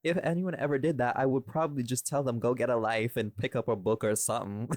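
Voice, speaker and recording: A man's voice: mid-range voice, fairly even intonation, quick pace, darkish tone, slight background noise. A man in a casual podcast chat, talking in a rambling, irreverent way and swearing freely as he explains himself. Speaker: podcast host and voice actor.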